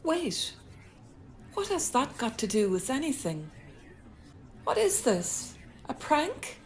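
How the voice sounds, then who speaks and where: A woman's voice: high voice, sing-song, slow, a little hiss or hum, exaggerated voice. A woman playing a guarded, puzzled serving maid in a radio play, hesitant and questioning. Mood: wary, unsettled.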